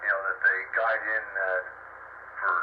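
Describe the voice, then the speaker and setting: A man telling anecdotes in casual chat over two-way radio, with a gravelly voice. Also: sits low, flat delivery, normal speed. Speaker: participant in amateur radio chat. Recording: noisy, dull two-way radio transmission.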